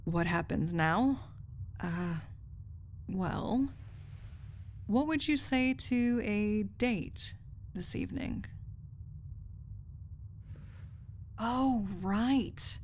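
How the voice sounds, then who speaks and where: A tender, lovestruck female research assistant, acted by a woman for an intimate audio roleplay. She speaks slowly and softly at a medium pitch, lingering on phrases with a contented, wry touch. A faint noise sits behind the voice. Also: natural voice. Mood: earnest, reassuring.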